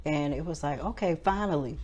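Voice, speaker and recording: Low-voiced host woman in her fifties. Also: a little fast, a little mellow, highs cut off. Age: 50s. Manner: affirming, frequent backchannel responses. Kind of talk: casual interview. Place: studio with live audience.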